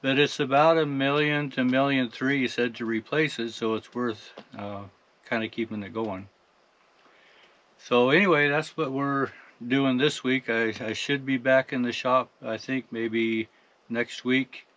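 A man in his sixties with an American accent gives a casual, unhurried, folksy update to camera from inside a truck cab. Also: medium pitch, fairly even intonation, a bit bright, muffled recording. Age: 60s. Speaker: farm truck driver and video host.

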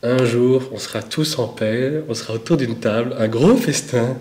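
A man in his thirties with a Belgian French accent, talking in a lively, animated way about faith and forgiveness. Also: mid-range voice, moderate pace. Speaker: co-host and street interviewer. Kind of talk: casual faith discussion. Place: indoor studio set, echoey room. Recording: roomy echo.

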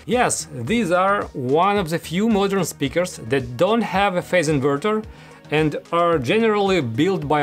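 A man in his thirties giving a scripted product review as host, speaking to camera in an indoor room. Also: on the high side. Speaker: audio gear reviewer, host. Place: small studio room, indoors. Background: music.

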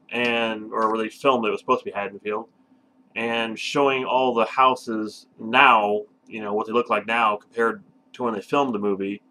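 A man's voice: mid-range voice, sharp tone, poor audio. Enthusiastic male movie reviewer. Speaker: movie review show host. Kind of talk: casual review chat.

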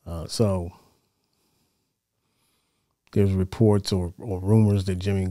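A middle-aged American man giving casual sports commentary in a measured, conversational way, close on a studio mic. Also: sits low, steady tone, dark tone, dry recording. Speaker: sports show host. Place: small home studio, indoors. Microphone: close broadcast mic on boom arm.

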